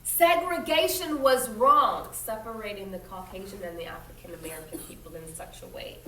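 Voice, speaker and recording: High-voiced woman reading aloud, echoey room. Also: pushed and bright. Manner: emphatic, instructive, checks understanding with questions. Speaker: teacher reading aloud to young pupils. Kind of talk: expressive storybook read-aloud with explanatory asides and questions. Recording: echoey room, distant mic, faint noise.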